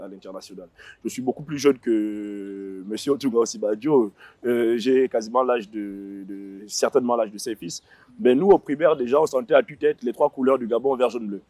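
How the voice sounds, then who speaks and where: A man's voice: medium pitch, a little fast, darkish tone, no echo. A man in his thirties, a guest on a panel, speaking with many fillers and restarts, recorded outdoors on a lapel mic. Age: 30s. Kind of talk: panel discussion. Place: outdoor set on a city square.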